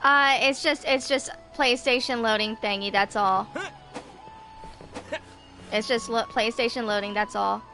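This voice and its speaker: A woman's voice: fairly high pitch, fairly even intonation, a little slow, long pauses, bright, forceful tone. A woman in her twenties giving casual gameplay commentary and reading game text aloud. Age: 20s. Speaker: gaming video host. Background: game music.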